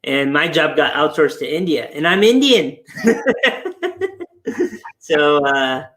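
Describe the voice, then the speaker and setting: A man in his forties with a slightly higher voice talks through retirement planning in an easy, explanatory way. He sounds relaxed and laughs now and then. The sound comes over a video call from a room at home. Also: slow pace. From England. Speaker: financial planner guest. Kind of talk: casual webinar explanation.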